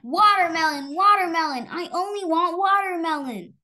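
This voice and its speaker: A woman voicing an excitable, greedy little girl in a storybook, exclaiming and repeating herself insistently. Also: very high voice, normal speed, voice pushed up. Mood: defiant.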